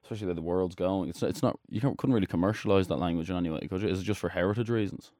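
A man from Dublin hosting an interview, chatty and slangy, firing off quick questions. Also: low voice, mellow, dry recording, clean studio sound. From Dublin, Ireland. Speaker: podcast host. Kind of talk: casual interview chat.

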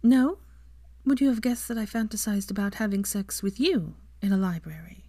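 A woman voicing an eager, bookish young woman who talks brightly, earnestly and a little breathlessly. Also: mid-range voice, lively intonation, moderate pace, no room sound, clean studio sound, pitched above the performer's own voice, lightly acted. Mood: coy.

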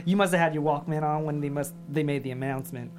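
A woman's voice: low-pitched, steady tone, fast talker, darkish tone, soft background music, natural voice. A woman playing a crude, boastful male gym bully at a sitcom table read. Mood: cocky. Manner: cocky, taunting.

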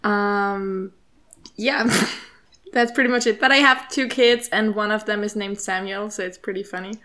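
A woman's voice: mid-range voice, fairly even intonation, measured pace, sharp tone. Chatty young woman from Austria. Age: 20s. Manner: chatty, laughs often, many fillers. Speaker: podcast co-host, guest. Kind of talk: casual interview chat.

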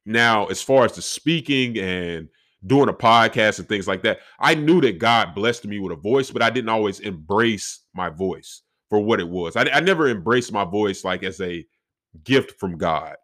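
A young man with a deep voice talking reflectively on his solo podcast, with faith woven into his talk. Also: expressive, moderate pace, fairly bright tone. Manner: reflective, faith-filled, conversational. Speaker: podcast host.

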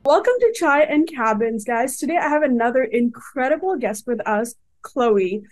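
A woman's voice: a little high, expressive, moderate pace, faint music behind. A woman hosting a podcast in an upbeat, enthusiastic way, asking her guest questions. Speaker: podcast host.

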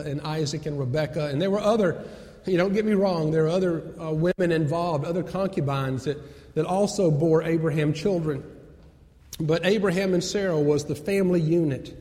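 Male preacher, higher-pitched voice, storytelling sermon with repeated phrases, long hall reverb. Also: fairly even intonation, moderate pace, mellow. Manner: repeats key phrases for emphasis.

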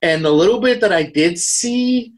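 Male podcast co-host, slightly high voice, loose rambling conversational delivery. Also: lively intonation, moderate pace. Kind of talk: casual chat about horror books and films.

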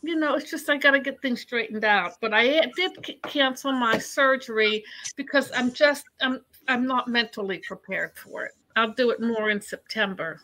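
A chatty woman with a bright, forward voice pitched a little high. She rambles in a friendly, casual way and calls people "honey". She sounds like a host talking live with a guest. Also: moderate pace, low-quality recording. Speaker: psychic host.

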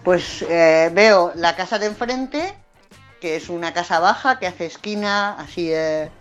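A woman from Madrid speaks in a slightly low voice, her Madrid Spanish accent softened by a Valencian lilt. She chats casually as a podcast guest, quick to laugh at herself. Faint music plays underneath. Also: dull audio. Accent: Madrid Spanish, with some Valencian influence. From Madrid, Spain. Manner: chatty, laughs often, self-deprecating. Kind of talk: casual chat.

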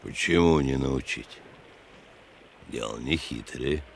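An actor playing a menacing, hardened criminal killer in a radio drama, sneering and threatening. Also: male voice, extremely low pitch, takes it slowly, slight background noise, heavily acted.

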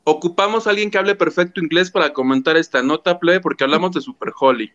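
A man's voice: fairly high pitch, fast, fairly bright tone, highs cut off. A man hosting a gossip show, telling the story animatedly and acting out quotes. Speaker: show host. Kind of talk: casual gossip commentary.

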